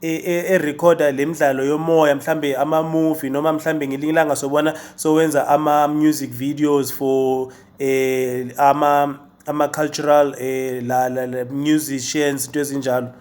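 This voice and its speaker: A South African man hosts a casual interview in a somewhat high-pitched voice. He speaks haltingly, often repeating small syllables before he gets a phrase out. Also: flat delivery, a little mellow. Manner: halting, repeats syllables while forming questions. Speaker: interviewer, host.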